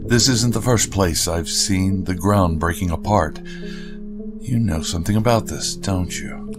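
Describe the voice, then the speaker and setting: A woman's voice: rumbling low, unhurried, voice pushed down. A woman voicing a guarded, skeptical man who speaks in short, impatient questions. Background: guitar music.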